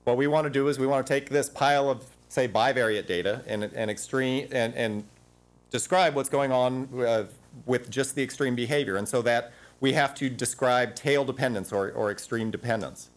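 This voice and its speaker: A man in his forties giving an academic panel talk in a conference room on a lapel mic, animated and full of restarts. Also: mid-range voice, moderate pace. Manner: animated, gesturing at slides, frequent restarts.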